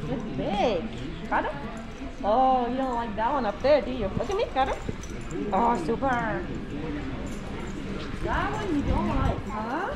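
A woman's voice: fairly high pitch, sing-song, a little slow, long pauses. A woman, a service dog handler, chatting casually and praising her dog with cheery coaxing while walking through a mall. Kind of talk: casual vlog chat while training a dog. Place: indoor shopping mall and stores. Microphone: action camera worn low on a dog. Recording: loud mall background noise.

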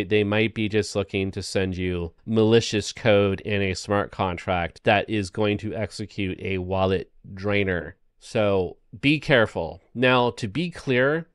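A man hosting an explanatory, cautionary monologue, speaking in a measured, emphatic way with frequent caveats. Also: on the low side, smooth, no echo, clean studio sound. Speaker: video host.

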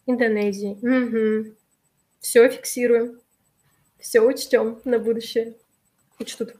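A woman in her thirties, a little hoarse from allergies, hosting a live webinar on a video call and reading out and answering viewers' chat messages in a friendly way. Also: on the high side, little pitch movement, slow pace, a little mellow. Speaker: webinar host. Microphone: webcam on a video call.

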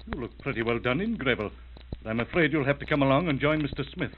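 An actor playing a brisk, businesslike investigator in an old radio play. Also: male voice, medium pitch, animated, a little hiss or hum, close to an everyday voice. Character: brisk, no-nonsense investigator, middle-aged man. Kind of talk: 1930s radio acting. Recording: tinny old radio transcription.

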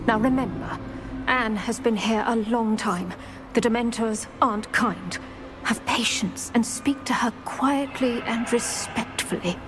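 A woman's voice: on the high side, close to an everyday voice. Woman as stern older witch in a game, formal, protective, faint music behind. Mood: brisk, guarded. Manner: crisp, formal, measured. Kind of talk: video game character acting.

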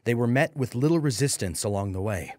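A man's voice: medium pitch, expressive, a little fast, dry recording, clean studio sound. A man narrating a scripted dramatic story as an audiobook.

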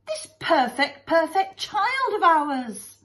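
A woman's voice: very high-pitched, big pitch swings, takes it slowly, fairly bright tone, voice pushed up, full character voice. Woman putting on a pompous, soppy doting father. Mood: adoring.

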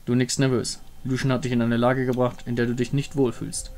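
Ein Mann, der als Erzähler die Geschichte eines Fantasyspiels vorliest. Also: male voice, mid-range voice, level tone, brisk, darkish tone. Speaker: narrator. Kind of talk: scripted narration of a game story. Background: music.

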